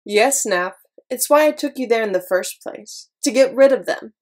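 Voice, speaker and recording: A woman's voice: on the high side, lively intonation, moderate pace. A woman playing a devilish, impatient and teasing woman in an audio comedy drama. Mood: matter-of-fact.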